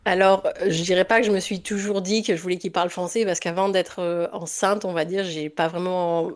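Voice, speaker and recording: A woman's voice: lowish voice, steady tone, brisk. A woman from France with a French accent, speaking as a podcast guest in a casual, reflective and somewhat hesitant way. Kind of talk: casual interview conversation.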